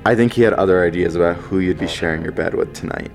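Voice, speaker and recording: Low-voiced, wry spaceship crewman. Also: fast talker, faint music behind. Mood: probing. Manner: wry, teasing, casual. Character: wry, loyal runaway male nobleman turned spaceship crewman. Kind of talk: science fiction radio drama acting.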